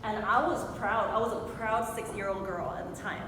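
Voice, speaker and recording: A woman in her forties from Hong Kong giving a motivational speech, telling a personal story and putting questions to her audience. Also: moderate pace. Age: 40s. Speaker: motivational speaker. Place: large echoing room before an audience. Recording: very echoey hall with clear noise and rumble.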